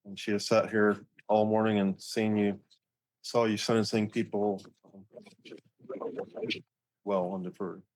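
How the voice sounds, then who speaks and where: Flat, even-toned male defense attorney. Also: on the low side, unhurried, long pauses, a little hiss or hum, poor audio. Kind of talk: formal courtroom replies. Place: courtroom.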